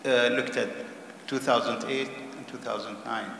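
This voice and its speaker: A man in the audience with a loud voice asking a direct question after a talk. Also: mid-range voice, fairly even intonation, normal speed, gravelly. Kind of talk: conference Q&A question. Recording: echoey hall, background noise.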